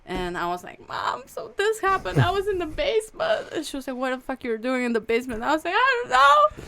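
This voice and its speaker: A woman's voice: extremely high pitch, huge pitch swings, fairly quick, gravelly, pushed and bright. A woman from Bulgaria with a Bulgarian accent, chatting playfully as a podcast guest and sometimes searching for English words. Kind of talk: casual chat, personal storytelling.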